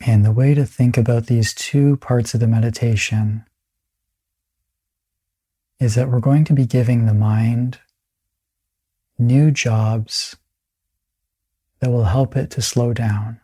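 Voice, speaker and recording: Slow, breathy man guiding meditation. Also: medium pitch. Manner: calm, soothing, long pauses between phrases. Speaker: meditation guide. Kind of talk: guided meditation.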